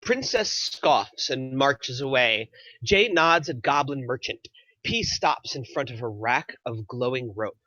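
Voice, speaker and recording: Bright, lively male stage-direction narrator. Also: medium pitch, a little slow, no room sound, lo-fi sound. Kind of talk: scripted narration at a table read.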